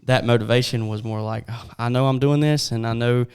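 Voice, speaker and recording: A man's voice: mid-range voice, fairly even intonation, brisk, darkish tone, clean tone. A man co-hosting a casual interview, chatty and telling stories with many "like"s. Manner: chatty storyteller, frequent "like". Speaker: podcast co-host.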